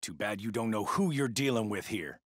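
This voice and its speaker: A man playing a stern, middle-aged ex-army stepfather in a video game, with an American accent and a gruff, commanding manner. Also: fairly low pitch, lively intonation, moderate pace, no echo, studio quality. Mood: stern, menacing.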